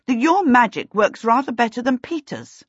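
A brisk, no-nonsense middle-aged witch from a storybook, performed by a woman reader. She is dry, severe and impatient, swinging widely in pitch to stress her point. The voice is a little husky, on slightly muffled audio. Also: sing-song, no echo. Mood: dry, emphatic. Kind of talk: audiobook character voice.

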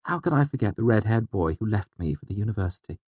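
Guy doing a soft teasing young woman in an audiobook, pitched up from his deep voice, dry sound. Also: moderate pace, slightly rough, dry recording. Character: teasing, flippant young woman, gentle and guarded. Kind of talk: audiobook character dialogue.

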